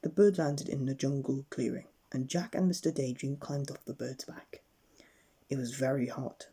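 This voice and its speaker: A woman reading a bedtime story aloud with expressive, dramatic narration. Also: a bass voice, normal speed, breathy edge.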